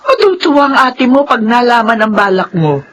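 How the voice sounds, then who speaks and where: A woman's voice: a little high, sing-song, fairly quick, a bit bright, a little hiss or hum. A tender old mother. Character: older mother, tender and supportive. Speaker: radio drama actor. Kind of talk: classic radio drama acting.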